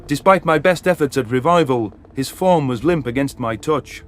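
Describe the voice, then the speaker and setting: A man's voice: mid-range voice, expressive, normal speed. A man narrating a science fiction story in the first person with dramatic delivery. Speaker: audiobook narrator. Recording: narration over background music.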